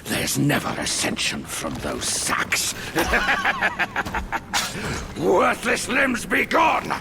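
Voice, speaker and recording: An actor playing a savage, boastful male demon boss in a video game cutscene, with a gravelly, shouting voice. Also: high voice, wildly swooping pitch, extremely slow, noisy background, full character voice. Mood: vengeful. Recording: game audio over loud ambience.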